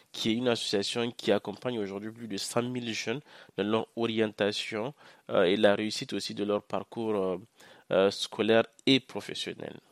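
A young man from Niger, a Hausa speaker, giving a measured, earnest first-person account on a podcast with occasional hesitant fillers. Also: on the low side, dry recording. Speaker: podcast guest, social entrepreneur. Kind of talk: first-person podcast testimony, partly read.

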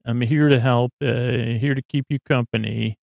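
A man hosting a bedtime podcast in a rambling monologue, halting and often losing his thread, silly and reassuring. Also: medium pitch, steady tone, vocal fry, darkish tone, no room sound. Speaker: podcast host.

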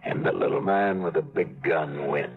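A sardonic tough adventurer. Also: male voice, rumbling low, moderate pace, faint music behind. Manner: dry, sardonic delivery. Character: cynical male adventurer narrating, tough and wry. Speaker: narrator and lead character. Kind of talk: 1950s radio drama acting. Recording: muffled old radio broadcast.

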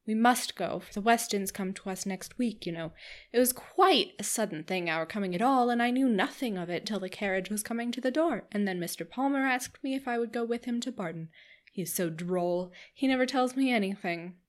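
A woman reader voicing a cheerful, giddy young wife who gushes in quick, eager chatter. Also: mid-range voice, natural voice. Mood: gushing. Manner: gushing, breathless chatter.

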